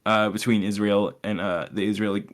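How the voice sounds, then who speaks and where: A man's voice: fairly low pitch, level tone, normal speed. A young man chatting casually as a podcast co-host, explaining his opinions in a sardonic way and swearing freely.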